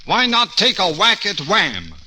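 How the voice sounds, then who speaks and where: A man's voice: sits high, huge pitch swings, unhurried, bright, forceful tone, a little hiss or hum, exaggerated voice. An actor playing an exasperated ad man and new homeowner in a 1940s radio play, full of sardonic quips.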